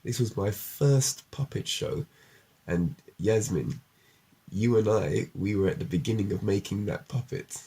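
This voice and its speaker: A man's voice: fairly low pitch, a little slow, vocal fry, dark tone, slightly rough. A man interviewing casually, explaining things and encouraging the person he talks with. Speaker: interviewer, puppet show storyteller.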